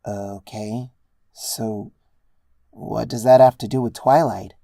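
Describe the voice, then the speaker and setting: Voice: mid-range voice, steady tone, slow, creaky, dark tone, no echo, studio quality. A narrator voicing a skeptical, impatient female pony who questions things in exasperation, in a story reading. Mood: puzzled, impatient. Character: puzzled, impatient young mare pony, the story's skeptical heroine.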